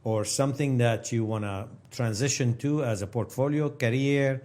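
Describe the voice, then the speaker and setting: A male interview host with a mellow, dark voice. He asks long, winding questions in a soft-spoken manner, and his voice sits at a middle pitch. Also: moderate pace.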